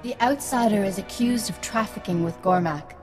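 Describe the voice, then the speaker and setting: An actress playing a stern alien adjudicator who gives formal, clipped pronouncements in a game cutscene. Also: female voice, medium pitch, moderate pace, natural voice. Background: music.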